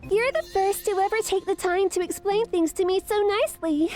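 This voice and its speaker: A woman voicing a young female vampire boss in a manga dub, haughty yet curious and excitable, with expressive, cutesy delivery. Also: very high voice, brisk, faint music behind. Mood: amazed, delighted. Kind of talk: anime-style manga dub acting.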